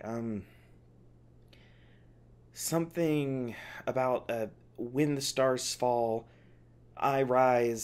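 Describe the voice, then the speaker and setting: Animated, snarky captive scientist, acted out. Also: medium pitch, natural voice. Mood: wry, puzzled. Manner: sarcastic, testy, swearing, casual. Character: snarky, exasperated scientist held captive, arguing with cellmate. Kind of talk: ASMR audio roleplay, one-sided dialogue.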